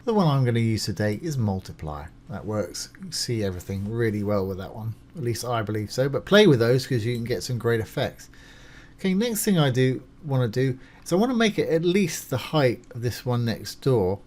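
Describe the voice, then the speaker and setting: A man with a mid-pitched voice presents a screencast tutorial in an informal, conversational way. He talks through each step as he does it, keeping things reassuring and simple. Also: sing-song, a little fast, a little mellow. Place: voice-over of a screen recording.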